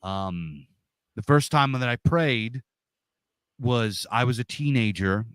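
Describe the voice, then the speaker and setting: Loud, forceful man. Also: medium pitch, lively intonation, slow pace, clear, resonant tone, no echo. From Pennsylvania, USA. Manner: loud, big-voiced talker. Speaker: interview guest. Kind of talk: casual storytelling.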